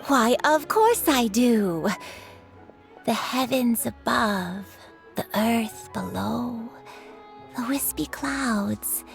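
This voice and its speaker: A forgetful, dreamy old granny. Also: female voice, on the high side, animated, vocal fry, exaggerated voice. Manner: slow, wandering, musing. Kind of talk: video game voice acting. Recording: soft music behind.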